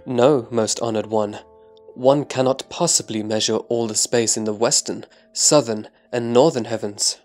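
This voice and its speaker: A man's voice: medium pitch, unhurried, darkish tone, lightly acted. Man as reverent monk Subhuti, music behind. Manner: respectful, formal, earnest. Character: venerable monk Subhuti, reverent, humble male disciple. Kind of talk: scripted sutra audio drama.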